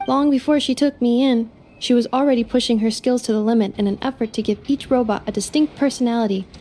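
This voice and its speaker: A woman voicing a gentle female robot companion in a video game, speaking in a measured, formal, slightly mechanical way. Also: fairly high pitch, close to an everyday voice.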